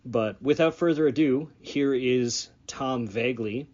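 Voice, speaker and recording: Slightly husky man hosting a podcast. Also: medium pitch, takes it slowly, darkish tone, lo-fi sound. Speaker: podcast host. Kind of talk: casual spoken podcast introduction.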